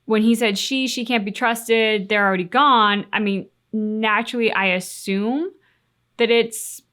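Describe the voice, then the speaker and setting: A woman's voice: fairly high pitch, steady tone, a little slow, a bit bright, clean tone. A woman co-hosting a reaction show, chatting casually in a musing, questioning way. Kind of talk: casual chat reacting to game cutscenes.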